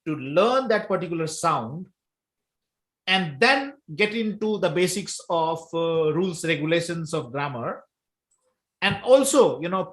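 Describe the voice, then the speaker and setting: High-voiced Indian man, halting speech. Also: a bit bright. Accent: Indian English. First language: Hindi. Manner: halting, many fillers and restarts. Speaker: language teacher. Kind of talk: casual discussion.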